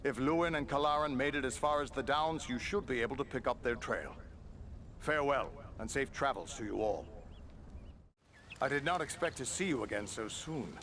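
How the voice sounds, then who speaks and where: A man playing a grave, dutiful ranger captain in a fantasy video game, speaking in formal, measured, archaic phrases. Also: mid-range voice, a bit bright, lightly acted. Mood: grateful, measured. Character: grave, dutiful male ranger captain, concerned for his men.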